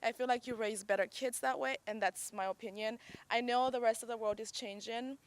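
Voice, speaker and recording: A woman's voice: fairly high pitch, steady tone, fairly quick, dry recording. A woman from Zambia, frankly giving her opinion in a casual panel discussion. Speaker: panel guest.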